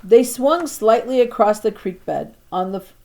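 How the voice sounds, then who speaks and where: A woman teacher reading a storybook aloud to her class, expressive, now and then stumbling and repeating a word. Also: a little high, moderate pace, gravelly. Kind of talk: storybook narration read aloud.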